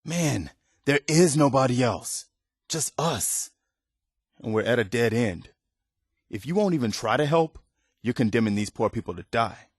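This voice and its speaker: A man's voice: medium pitch, expressive, a little slow, no echo, clean studio sound. A man playing an earnest, weary militia leader in a video game, speaking in a sincere, plain-spoken, conversational way. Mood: frustrated, urgent. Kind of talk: video game dialogue acting.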